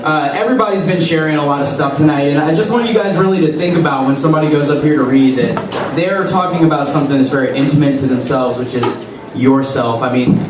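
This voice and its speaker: A man hosting a live reading night, joking loosely with the audience before introducing the next reader. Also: on the high side. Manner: playful, loose, excitable. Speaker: event host. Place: large room with an audience. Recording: echoey hall, far from the mic, crowd noise.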